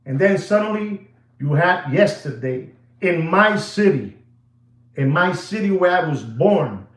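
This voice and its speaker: A man's voice: high voice, measured pace. A man, ranting casually to viewers in a rambling way and slipping into Spanish phrases. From New York, USA. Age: 60s. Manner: animated and rambling, mixes in Spanish phrases, addresses viewers as "papi". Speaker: watch dealer, show host. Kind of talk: casual rant to camera. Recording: echoey room.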